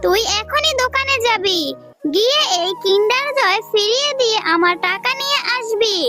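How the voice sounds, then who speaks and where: A woman plays a mean cartoon aunt with a high voice. She is bossy, suspicious and scolding, always accusing. Loud children's music runs behind her. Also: animated, normal speed. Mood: angry, threatening. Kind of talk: children's cartoon story dubbing.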